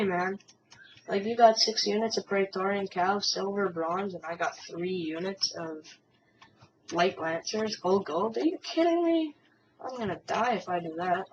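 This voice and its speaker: A woman giving casual, hesitant commentary on a replay of a strategy game battle, a bit hoarse from a cold. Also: mid-range voice. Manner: hesitant, many ums and restarts. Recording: distant, muffled mic with faint hiss.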